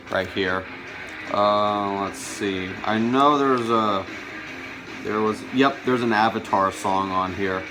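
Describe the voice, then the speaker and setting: A man's voice: fairly low pitch, rough recording. A man talking conversationally and with enthusiasm as he hosts a casual show-and-tell video. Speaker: video host showing a music collection.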